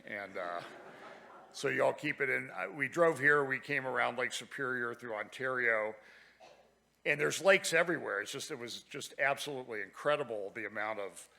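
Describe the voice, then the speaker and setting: Gravelly guy from ohio preaching, folksy and jokey, bright edge to the voice. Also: mid-range voice, normal speed. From Ohio, United States. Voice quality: raspy. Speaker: guest speaker. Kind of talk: sermon with humorous asides. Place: church sanctuary.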